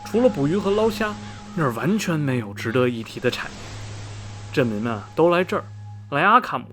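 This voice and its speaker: A man's voice: fairly high pitch, big pitch swings, brisk, fairly bright tone. A man playing a chatty middle-aged railway station veteran in a radio drama, folksy and rambling, with many sighs and interjections. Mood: gossipy storytelling. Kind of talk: Mandarin radio drama dialogue, storytelling. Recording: music behind the voice. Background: background music.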